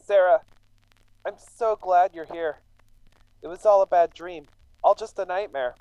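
A man's voice: high-pitched, measured pace, mellow, clear, resonant tone, no room sound, poor audio. A man acting in a radio drama as a confused, remorseful, injured immigrant farmhand who falters and stammers. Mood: delirious, wistful. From England.

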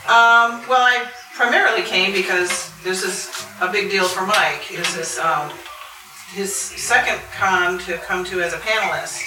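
A woman's voice: medium pitch, a little slow. A middle-aged woman talking casually as a panelist at a live convention, thinking aloud in a conversational way. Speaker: convention panelist and audio drama voice actor. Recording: roomy, distant mic, noisy live room.

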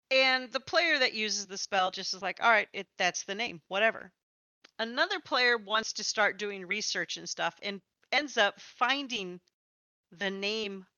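A woman's voice: mid-range voice, sharp tone, no echo, highs cut off. A woman hosting a casual interview about tabletop games, talking in an encouraging, reassuring manner. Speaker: podcast host and interviewer, also a game master.

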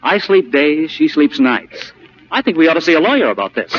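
An actor playing a fretful, insistent young fiance in a vintage radio comedy. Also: male voice, fairly high pitch, big pitch swings, a little fast. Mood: grumbling. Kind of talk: 1940s radio comedy acting. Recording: dull, noisy old radio recording.

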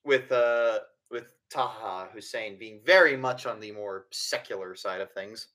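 A man narrating as game master of a tabletop game, explaining at length and pausing often on fillers. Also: lowish voice, pushed and bright.

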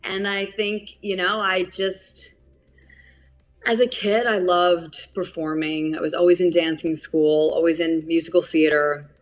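A woman's voice: on the low side, fairly even intonation, unhurried. A woman in her thirties with a heavy New York accent, chatting as an interview guest, often laughing and using fillers. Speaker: actress guest. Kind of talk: casual interview chat. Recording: muffled lo-fi audio.

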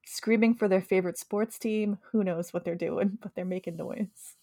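Woman co-host from New York, slightly gravelly tired voice, chatty, dry close mic. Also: medium pitch, steady tone, moderate pace, dark tone, dry recording. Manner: chatty, enthusiastic about bug facts. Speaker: podcast co-host. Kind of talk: casual chat.

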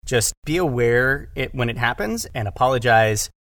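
A man talking casually as a director in a discussion, rephrasing haltingly as he goes and poking fun at himself. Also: medium pitch, expressive, a bit bright, dry recording. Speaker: podcast guest, audio drama director.

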